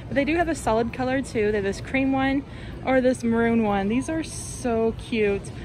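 A woman's voice: on the high side, normal speed, darkish tone, slight background noise, rough recording. A woman vlogger chatting casually and upbeat with lots of fillers as she films clothes on a phone inside a big store. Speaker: shopping vlogger. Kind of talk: casual shop-with-me narration. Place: inside a large retail store. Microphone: phone camera held in hand.

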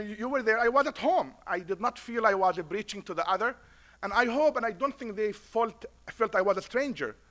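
A man's voice: high voice, bright, forceful tone. A middle-aged man with a foreign accent, an imam giving a conference speech at a podium, preacher-like and repeating lines for emphasis. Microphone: podium mic.

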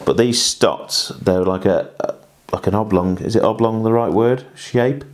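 A man in his thirties, a fishing coach, showing how to tie a rig at a kitchen table in a chatty, halting way full of restarts and tag questions, on a lapel mic. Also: lowish voice. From England. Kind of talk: hands-on demonstration chat. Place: indoors, small home kitchen table.

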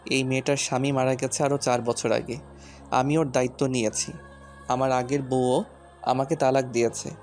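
Male actor as angry protective husband, hard commanding delivery, narrow even pitch, audio story, music underneath. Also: moderate pace, natural voice. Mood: defiant. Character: fierce, stubborn, protective husband in a rage. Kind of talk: dramatised audio story acting.

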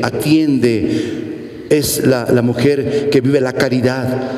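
Mexican priest preaching in an echoing church. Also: male voice, lowish voice, a little mellow. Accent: Mexican Spanish. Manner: earnest preaching with repeated phrases and gestures. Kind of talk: homily at Mass. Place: large church sanctuary, at the pulpit. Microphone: pulpit mic, medium camera shot. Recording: echoey church with long reverb.

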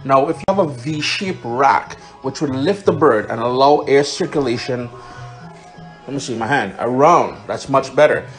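A man's voice: medium pitch, animated, normal speed, soft background music. Chatty Caribbean man giving cooking instructions. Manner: chatty, instructive, playful interjections. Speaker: home cook and cooking vlogger. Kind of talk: casual cooking demonstration to followers. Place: home kitchen. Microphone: handheld phone filming the food.

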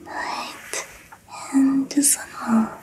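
A woman doing an ASMR roleplay as a hearing tester, giving gentle, reassuring instructions in a breathy whisper. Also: high voice, fairly even intonation, drawn-out words, soft background music.

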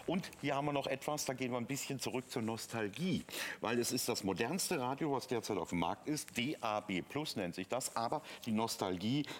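Guy doing a tv shopping demo, lively pitch, explains step by step, bit of noise behind him. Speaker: TV shopping presenter demonstrating a product. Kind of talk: unscripted live product demonstration. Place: TV studio.

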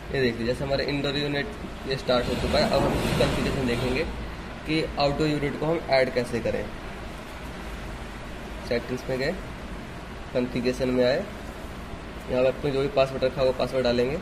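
A man's voice: mid-range voice, little pitch movement, brisk, pauses often. Man demonstrating, noisy amateur recording. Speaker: installer giving a product tutorial. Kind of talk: step-by-step demonstration, unscripted. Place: indoors in a room.

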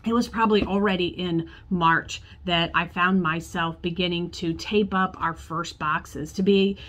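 A woman in her forties, a pastor, preaching a sermon to camera from her office, telling a story in a warm conversational way. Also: mid-range voice. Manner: storytelling, acts out quoted voices, gestures with hands. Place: church office with bookshelves, indoors.

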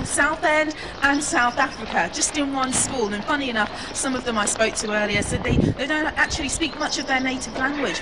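Upbeat female radio reporter outdoors. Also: sits high. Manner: brisk, upbeat interviewer questions. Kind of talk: live outdoor radio interview. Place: school playground outdoors. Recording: loud outdoor background noise.

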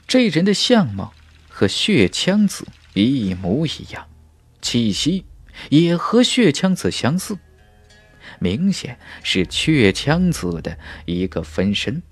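A man's voice: mid-range voice, no echo. A man reading a fantasy audiobook as a scripted, dramatic narration with emphatic storytelling. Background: background music.

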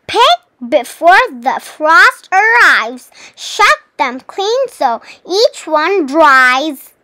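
A children's read-aloud host reading a storybook in an animated, deliberate, sing-song way. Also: high-pitched, dry recording.

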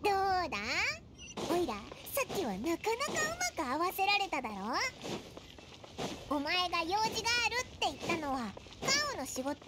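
An actress voicing a tiny, excitable fairy girl companion who chirps and blurts things out in a video game. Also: very high-pitched, moderate pace, very noisy. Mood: pleased. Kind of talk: Japanese game voice acting.

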